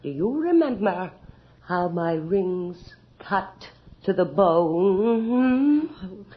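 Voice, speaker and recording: Through a muffled, faintly noisy radio recording comes an imperious, vain and cruel countess from a horror play. She draws her words out very slowly with theatrical command, swinging widely in pitch. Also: very animated. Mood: threatening, demanding. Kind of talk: 1980s radio horror drama acting. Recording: dull old radio recording with background noise.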